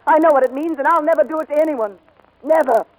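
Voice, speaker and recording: A woman's voice: high-pitched, fast talker, background noise, close to an everyday voice. A woman acting a proud, guarded American aviator in dramatic, emotionally intense 1940s radio style. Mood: wounded, defiant.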